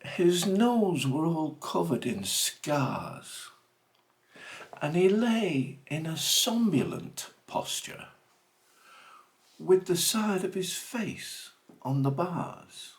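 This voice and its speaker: Slow, swooping man reciting. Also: on the high side, very animated. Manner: dramatic, deliberate phrasing with swooping emphasis. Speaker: reader of a comic monologue poem. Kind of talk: theatrical comic verse recitation.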